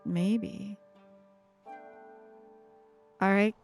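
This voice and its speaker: Woman streamer, mid-pitched, casual and chatty, breathy giggles, home desk mic. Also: fairly even intonation, drawn-out words, soft background music. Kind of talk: casual live-stream chat.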